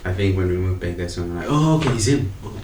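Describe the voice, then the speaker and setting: A young man, a podcast guest talking in a relaxed way with short agreeing replies. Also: sits low, quick pace. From Zimbabwe. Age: young adult. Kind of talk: casual podcast discussion. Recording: echoey room with faint noise.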